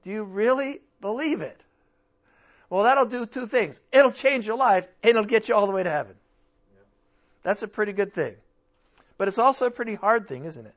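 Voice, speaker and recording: A man preaching a sermon with a strained voice, nearly worn out, asking the congregation rhetorical questions and swinging between loud and soft. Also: high-pitched, expressive, normal speed, long pauses, dull audio. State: strained, almost losing his voice. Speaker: pastor.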